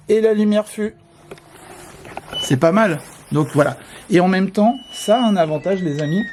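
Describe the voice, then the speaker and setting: A man's voice: fairly high pitch, dark tone, a little hiss or hum. A man vlogger chatting casually as he walks through a hotel room, friendly and full of filler sounds. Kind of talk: casual walkthrough commentary. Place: indoors in a hotel, walking through corridors and a room.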